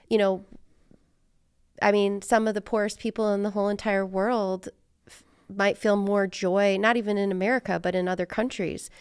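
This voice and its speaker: A woman's voice: medium pitch, clean tone, no room sound, studio quality. A woman hosting a casual interview, thinking aloud in a reflective, conversational way. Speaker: podcast host.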